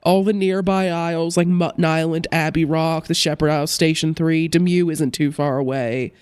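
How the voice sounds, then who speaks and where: A woman's voice: low voice, steady tone, normal speed, a little mellow, clear, resonant tone, dry recording. Congested woman chatting over gameplay. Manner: chatty, self-correcting, thinking aloud. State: congested from a cold. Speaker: let's play host. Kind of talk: casual gameplay commentary.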